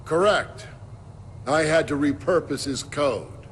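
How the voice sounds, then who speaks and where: A man's voice: fairly high pitch, slow, a bit bright, slight background noise. A man playing a cold, calculating computer program villain in a video game, clipped, formal and menacing. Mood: cool, smug. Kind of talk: video game cutscene voice acting. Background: game music.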